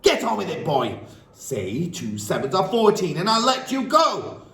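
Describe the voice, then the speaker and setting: A hulking, bullying headmistress, as a male reader puts her on, roars and barks her lines with wild swoops in pitch, dragging out the threats. She sounds raised above a normal speaking voice. The whole reading comes from a distance in an echoey room. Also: sing-song. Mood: bellowing. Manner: bellowing, barking, drawn-out threats. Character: huge tyrannical female headmistress, bellowing bully. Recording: roomy, distant mic, faint ambience.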